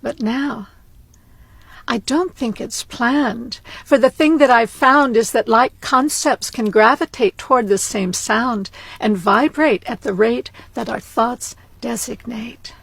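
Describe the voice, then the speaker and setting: A woman giving a measured, wordplay-heavy spoken-word lecture that runs into rhyme. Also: on the high side, creaky, fairly bright tone, husky, no room sound.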